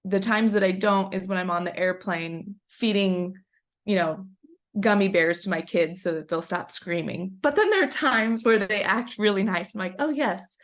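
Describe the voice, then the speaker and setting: Woman, 30s, webinar presenter, warm chatty storyteller, gravelly fry, raspy from a cold. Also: medium pitch, moderate pace. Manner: chatty, storytelling, frequent ums. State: getting over a cold, a little scratchy. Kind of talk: conversational presentation.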